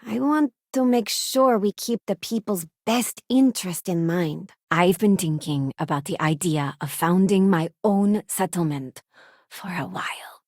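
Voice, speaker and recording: A woman's voice: on the low side, measured pace, a little mellow, dry recording. A young working-class woman aboard a starship in a science fiction game. She is principled and self-assured, with an earnest, plain-spoken delivery. The sound is dry, with no room around the voice. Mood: earnest, critical. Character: principled working-class woman spacefarer, confident, idealistic crew companion. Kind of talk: video game companion voice lines.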